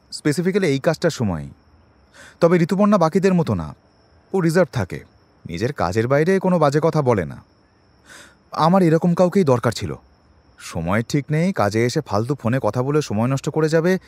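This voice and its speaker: A man's voice: medium pitch, sing-song, normal speed, long pauses, darkish tone, dry recording, lightly acted. An actor in an audio drama playing a defensive middle-class man who explains himself at length to the police, mixing in English words. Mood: defensive, uneasy. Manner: wordy, self-justifying, mixes in English words.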